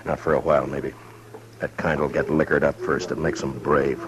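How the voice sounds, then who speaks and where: An actor playing a grim, steady lawman, narrating tersely in a somber old western radio drama. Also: rumbling low, moderate pace, a crowd in the background. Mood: calm, wary. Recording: old radio broadcast with music.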